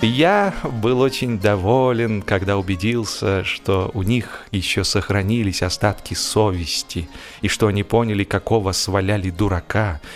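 A man reads a humorous story as an audiobook narrator, in an expressive, animated storytelling manner. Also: lowish voice, moderate pace, over music.

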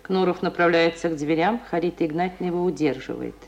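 A woman's voice: lowish voice, fast talker, dull audio, a crowd in the background. A woman narrator giving a scripted reading of stage directions in an old radio play. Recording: noisy vintage radio recording.

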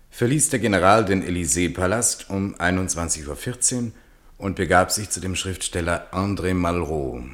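A man reading scripted literary narration for a radio play in a documentary, report-like style. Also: deep voice.

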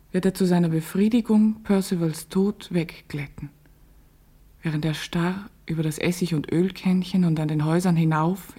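A woman playing a grieving, dreamy woman in a literary radio play, speaking a solemn, lyrical monologue in a breathy, hushed voice. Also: lowish voice. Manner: solemn, measured, lyrical delivery. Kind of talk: poetic interior monologue, German radio drama.

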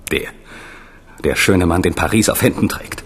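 A resentful younger prince in a radio play. His low voice is confiding and sardonic, full of bitter scheming. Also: unhurried, slightly rough. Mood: bitter, scornful.

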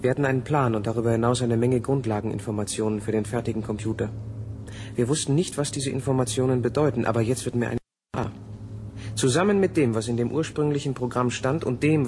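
An actor playing an intense, wary physicist in a German radio play, speaking in an emphatic, lecturing way. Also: male voice, medium pitch, a little fast, darkish tone, close to an everyday voice. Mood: urgent, warning. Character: intense, wary male physicist warning of a thinking machine. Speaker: scientist. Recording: noisy, echoey hall-like radio recording.

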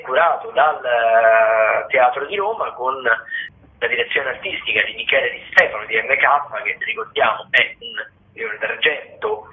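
A man at an ordinary pitch talks through the theatre week as a regular critic. He rambles and leans on fillers and restarts. The audio is narrow and phone-like. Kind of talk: radio theatre listings.

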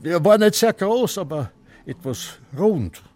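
Halting old Austrian man, swooping pitch. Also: sing-song, a little mellow. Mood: hesitant, wistful. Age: older. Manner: hesitant, halting, repeats himself. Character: confused, stubborn old Austrian film director. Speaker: actor. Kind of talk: radio drama acting.

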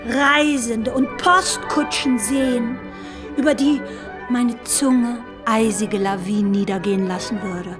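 A playful young woman in a literary radio play. Her voice is high and she lingers slowly over ornate phrases with sensual relish. Music plays clearly underneath. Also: steady tone. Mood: playful, savoring. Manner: savoring, eloquent, florid phrasing. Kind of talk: literary radio drama acting.

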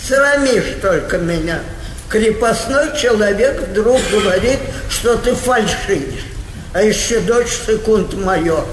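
Imperious old noblewoman, slow and echoing. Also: mid-range voice, animated, slight background noise. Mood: scolding. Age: older. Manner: commanding, haughty. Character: imperious old aristocratic lady, capricious and impatient. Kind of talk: Russian stage comedy acting. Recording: roomy theatre hall, music behind.

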